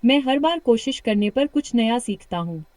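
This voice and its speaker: A woman with a British accent coaching a shadowing practice lesson, full of encouragement and reading practice sentences slowly and repeatedly. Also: fairly high pitch, animated, a little mellow, dry recording. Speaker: English speaking coach.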